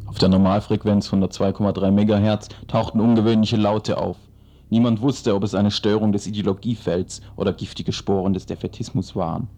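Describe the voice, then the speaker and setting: A man's voice: on the low side, steady tone, brisk, dark tone. Man narrating a mock-dramatic radio parody. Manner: earnest pseudo-dramatic storytelling.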